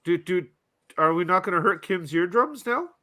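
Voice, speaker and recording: A man's voice: high voice, expressive, moderate pace, bright, forceful tone. A man co-hosting a podcast in casual, teasing banter, often repeating words as he starts a thought. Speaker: podcast co-host.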